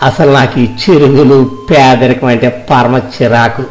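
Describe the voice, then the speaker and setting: A man's voice: mid-range voice, steady tone, normal speed, lightly acted, rough recording. A man playing an arrogant, mocking rich village man in a moral story, speaking colloquial rural Telugu. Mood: mocking, boastful. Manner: mocking, boastful, condescending. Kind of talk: moral story audio drama. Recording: music behind the voice.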